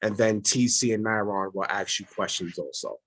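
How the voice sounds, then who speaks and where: A man's voice: lowish voice, steady tone, normal speed, fairly bright tone. A man hosting a casual podcast recorded at home, asking loose, repetitive questions. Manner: loose, repeats himself while asking questions. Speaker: podcast host.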